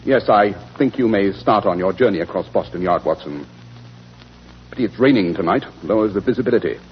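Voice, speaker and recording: An actor playing a brisk, superior, sardonic detective with a clipped, authoritative manner in 1940s radio style. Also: male voice, lowish voice, lightly acted. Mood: measured, wry. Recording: noisy, dull 1940s radio recording.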